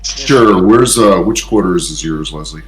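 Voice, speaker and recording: A man's voice: low voice, animated, a little slow, slight background noise. A man chatting casually as a tabletop game player, asking questions in a tentative way.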